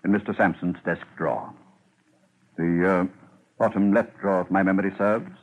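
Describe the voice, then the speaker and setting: A slippery snooty con man, deep and husky, fancy phrasing, old 50s radio show. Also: fairly even intonation, moderate pace, narrow, tinny audio. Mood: hushed, grudging. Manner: pompous, affectedly genteel phrasing. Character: suave shady male con man, haughty petty thief. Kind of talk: 1950s radio drama acting. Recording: old 1950s radio recording.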